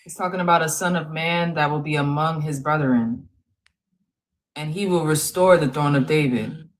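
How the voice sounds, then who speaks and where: A woman co-hosting a religious live-stream panel, explaining to callers in a calm, measured way. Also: sits low, rough recording.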